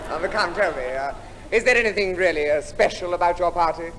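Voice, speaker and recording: A man playing an eager, persistent newspaper reporter in a stage comedy sketch, polite but coaxing and excitable. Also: high-pitched, fast. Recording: faintly noisy 1950s theatre recording.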